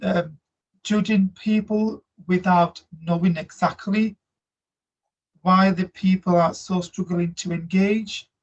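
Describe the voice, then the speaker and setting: Husky Slovak-accented man, earnest. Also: high-pitched. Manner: earnest, reflective, halting non-native grammar. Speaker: guest, migrant workers support officer. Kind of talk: interview answers. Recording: dull lo-fi audio.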